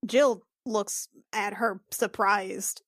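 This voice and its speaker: A woman playing a tabletop role-playing game. She talks very slowly, hesitating and repeating herself as she works up to things. Her voice sounds dry and close, with no room around it. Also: medium pitch, steady tone, fairly bright tone, no echo. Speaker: player.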